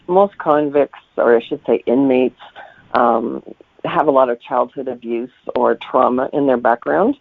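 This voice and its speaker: A man's voice: mid-range voice, measured pace, creaky, dry recording. A man answering interview questions as a guest novelist in a conversational, reflective way, explaining things as he goes. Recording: thin telephone-like line.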